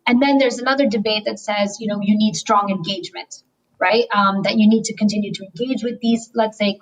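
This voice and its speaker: A woman speaking at a middling pitch, calm and explanatory, laying out her points step by step. She talks as a banker in a webinar interview, pausing on fillers as she thinks. Her delivery stays steady and considered. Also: low-quality recording. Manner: measured, explanatory, frequent "um" fillers. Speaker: banker on sustainability.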